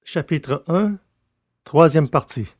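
A man reading as a podcast host and narrator, with measured, articulate, scripted delivery. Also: a little high, lively intonation, a little hiss or hum. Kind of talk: scripted podcast presentation and audio novel narration.

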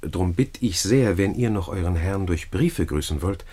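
An actor playing a scheming, flattering Roman gentleman in a classical radio play, speaking courtly, florid verse. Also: sits low, normal speed. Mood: courteous, persuasive.

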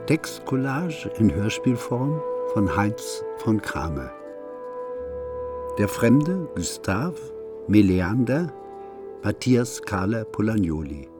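Slow, low-voiced male radio announcer. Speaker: radio announcer reading credits. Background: music.

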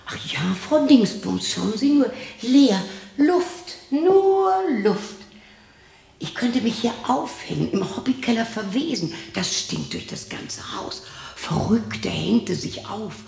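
A lonely, eccentric widow in her sixties, muttering to herself in a radio play. Her delivery is slow and halting, with sardonic, theatrical swings in pitch. The voice sits in a roomy echo. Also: big pitch swings, creaky, a little mellow, heavily acted. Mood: bitter, morbid. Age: 60s. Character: lonely, bitter, eccentric sixty-year-old widow talking to herself. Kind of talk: radio drama monologue. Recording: roomy echo with faint noise.

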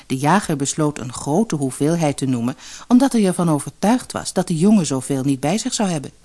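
Female storyteller for a children's audiobook, slightly low pitch, animated melody, expressive scripted read. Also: brisk. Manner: acts out the dialogue. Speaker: storyteller reading a fairy tale.

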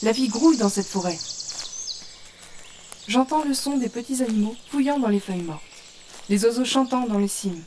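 An actress playing a novice archer in a virtual world, narrating in a contemplative, observant way in an audio drama. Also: female voice, a little high, normal speed, long pauses, a little mellow, slight background noise, natural voice. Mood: awed, savoring. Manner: contemplative, immersed. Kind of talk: French audio fiction, descriptive first-person narration. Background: forest ambience with birds and insects.

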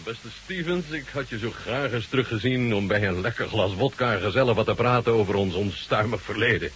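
An actor playing a jovial, shrewd Soviet marshal in a radio drama, with a husky voice and a bantering, courteous manner. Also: male voice, lowish voice, fairly quick, a bit bright, a little hiss or hum, lightly acted. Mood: jovial, teasing.

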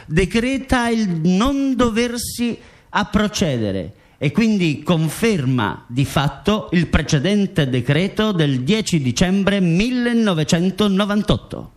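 Formal radio drama narrator, measured reading, voice a bit on the high side. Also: male voice, sing-song, full character voice. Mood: formal, official. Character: formal male court reader and case narrator.

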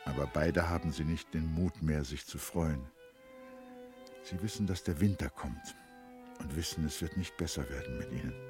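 Very deep-voiced man narrating over strings. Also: moderate pace, a little mellow, soft background music. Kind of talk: literary scripted narration in a radio play.

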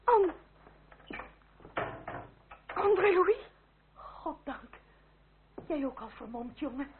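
A woman's voice: high voice, animated, very slow, full character voice. An actress in a radio drama playing an anxious, easily flustered French noblewoman. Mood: startled relief. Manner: fretful, exclamatory. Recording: muffled, noisy old radio play.

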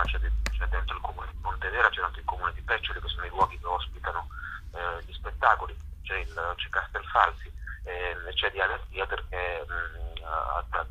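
A man answering as a guest in a radio interview, hesitant and halting as he searches for words. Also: low-pitched, bright, forceful tone, slightly rough. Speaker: interview guest, theatre artist and workshop teacher. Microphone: telephone. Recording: dull telephone line on radio.

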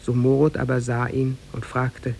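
A man narrating a scripted tale for 1950s German radio in a measured, formal storytelling manner, with a gravelly, husky voice. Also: medium pitch, monotone, a little mellow, slight background noise.

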